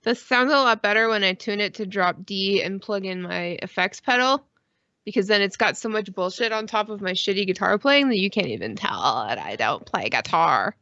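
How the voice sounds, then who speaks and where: A woman's voice: medium pitch, expressive, normal speed, pushed and bright, no echo, muffled recording. A woman co-hosting a casual live stream, bantering in a crude, teasing, repetitive way and swearing freely. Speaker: podcast co-host on a live stream.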